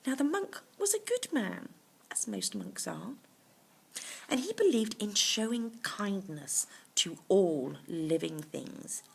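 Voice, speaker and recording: A woman reading a bedtime story to children, pausing to explain things simply in little asides. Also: mid-range voice, sing-song, gravelly, husky. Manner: explains words simply to young listeners.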